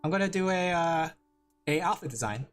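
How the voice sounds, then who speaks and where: A male vtuber who chats loosely with his audience while he draws. He rambles, laughs often and makes fun of himself. Soft music plays faintly behind him. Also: fairly high pitch, animated, a little fast, clean tone. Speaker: vtuber streamer. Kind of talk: casual livestream chat while drawing.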